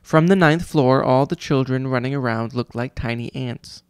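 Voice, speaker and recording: A man's voice: medium pitch, moderate pace, clean tone, no echo, clean studio sound. A father reading a children's storybook aloud to his child in an audiobook style.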